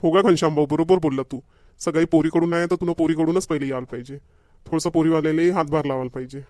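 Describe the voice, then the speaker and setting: A man's voice: on the high side, steady tone, fast talker, a little mellow, no echo, studio quality, close to an everyday voice. A man voicing a sociable, practical village family man in a cartoon comedy, with a Vidarbha Marathi accent. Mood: friendly, sociable.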